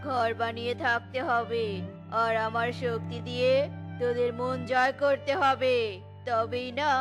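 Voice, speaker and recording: A woman's voice: level tone, measured pace, lightly acted. A woman voicing a greedy old male holy man who cons birds, commanding and condescending, over music. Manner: commanding, condescending, booming laugh.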